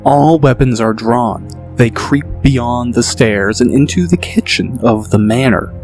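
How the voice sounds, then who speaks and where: A man narrating a fantasy audio drama in a steady, descriptive storytelling voice. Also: mid-range voice, a little slow, over music.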